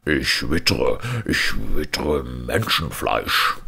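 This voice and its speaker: A man's voice: rumbling low, slow pace, gravelly, sharp tone, breathy edge, heavily acted. A man voicing a hungry, menacing man-eating ogre in a storybook fairy tale. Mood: hungry menace.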